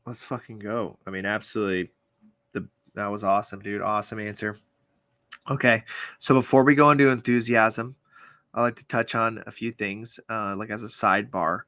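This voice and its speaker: Hyped male podcast host, bright voice. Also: fairly low pitch, steady tone, moderate pace, no room sound. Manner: hyped and enthusiastic, swears. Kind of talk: casual interview hosting.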